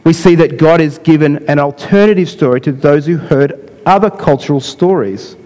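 A man preaching a sermon in an unhurried way, his voice croaky, often repeating and rephrasing himself. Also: a little high, dark tone, long reverb tail. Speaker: pastor.

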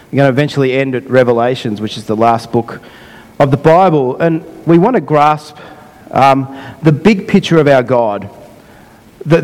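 A man preaching a sermon in an unhurried way, his voice croaky, often repeating and rephrasing himself. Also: a little high, a little mellow, in a large hall. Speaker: pastor.

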